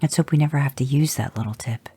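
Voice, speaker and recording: A reader voicing a calm man who speaks in a level, matter-of-fact way, in an audio fanfic reading. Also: mid-range voice, fast, husky, dry recording. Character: Jensen, calm man giving directions.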